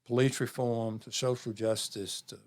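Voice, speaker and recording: A man in his seventies talking reflectively as an interview guest, measured, with pauses and phrases repeated for emphasis. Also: mid-range voice, fairly even intonation, a little mellow. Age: 70s. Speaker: interview guest, community program leader.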